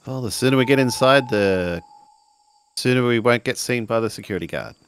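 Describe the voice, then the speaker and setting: A man's voice: mid-range voice, brisk, fairly bright tone, a little hiss or hum. A man improvising casually as a player in a tabletop roleplaying game.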